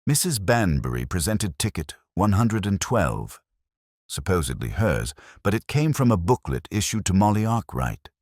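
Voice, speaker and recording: A man voicing a quiet, shrewd police inspector in an audio mystery story, speaking in a measured, deliberate way. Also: sits low, no room sound, studio quality, voice pushed up. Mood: quietly probing.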